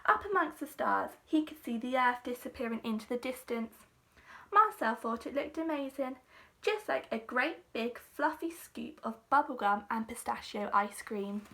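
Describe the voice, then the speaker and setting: Bright-voiced woman reading a children's story. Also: a little high, lively intonation, moderate pace.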